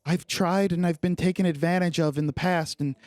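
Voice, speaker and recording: A woman's voice: lowish voice, fairly even intonation, a little fast, darkish tone, no room sound, studio quality, natural voice. A woman playing an earnest young woman looking for help in a tabletop game, hesitant and pleading. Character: earnest, needy young woman seeking her lost father.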